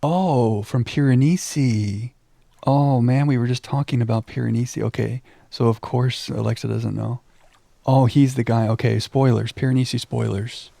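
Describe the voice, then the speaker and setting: A man streaming a video game in a relaxed voice with a creaky edge. He talks casually to his viewers and reads text aloud, close and dry on the mic, poking fun at himself as he goes. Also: mid-range voice, normal speed, no room sound. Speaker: livestream game host.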